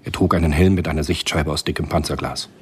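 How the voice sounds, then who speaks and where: A man narrating a spy thriller radio drama in a measured, literary storytelling manner. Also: extremely low pitch, steady tone, a little hiss or hum. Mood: grave, measured.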